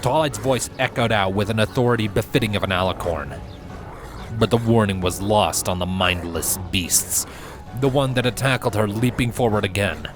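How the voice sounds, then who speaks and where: A man reading scripted fan fiction as a narrator, with measured, dramatic storytelling. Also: lowish voice. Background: background music.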